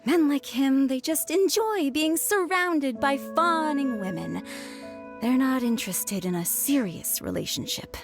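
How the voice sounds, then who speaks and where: Eine junge, verliebte Forscherin, die sich hoch und aufgeregt verteidigt. Ihre Stimme schwankt lebhaft, und leise Musik liegt darunter. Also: female voice, sits high, lively intonation, measured pace, over music. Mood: flustered. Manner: flustered, defensive. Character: young female researcher, lovesick and defensive. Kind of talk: video game voice acting.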